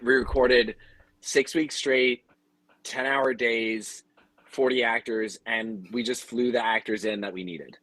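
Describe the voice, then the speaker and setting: Man, mid-pitched voice, businesslike explanatory delivery, conversational, dry recording. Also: steady tone, takes it slowly, fairly bright tone, no room sound, low-quality recording. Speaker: audio drama producer on a panel. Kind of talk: conversational panel talk.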